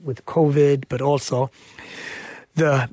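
A man's voice: medium pitch, fairly even intonation, takes it slowly, a little mellow, dry recording. A man from Sweden, a chef and author, answering questions earnestly in a radio interview. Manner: earnest, reflective, frequent "right?" tags.

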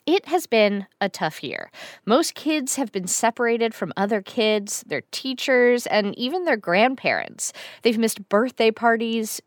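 Woman, radio host, kids show, clear, friendly, measured, dry studio sound. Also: fairly high pitch, no room sound. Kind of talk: scripted radio hosting for kids.

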